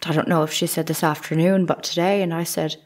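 Woman playing low, husky housemaid witness. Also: steady tone, quick pace. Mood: plain, respectful. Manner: plain, deferential. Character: plain-spoken 19th-century housemaid, a respectful witness. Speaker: actor. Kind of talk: courtroom audio drama, scripted testimony.

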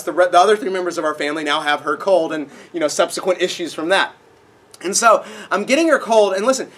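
A young man preaching a sermon in a dark auditorium, a little nasal from a cold, animated and acting out voices in his stories. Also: high-pitched. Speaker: pastor. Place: dark auditorium with projected screen.

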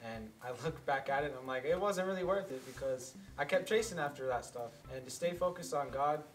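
A man of twenty-one sharing his story in relaxed, reflective casual talk. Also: fairly high pitch, a little fast, distant mic. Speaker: panel guest. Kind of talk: casual testimony. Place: small wood-paneled studio room.

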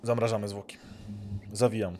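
Low-voiced man playing a tabletop game. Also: flat delivery, moderate pace, warm tone, slight background noise. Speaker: tabletop role-playing player. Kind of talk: casual first-person game play.

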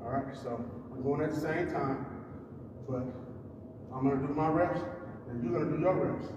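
A man explains a workout drill in plain, easygoing coaching talk, laying out each step. His slightly raised voice carries from far off across a large indoor gym, with a long ringing echo and steady background noise. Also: a little high, normal speed, dull audio. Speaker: fitness coach. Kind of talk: casual workout instruction. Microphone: far camera.

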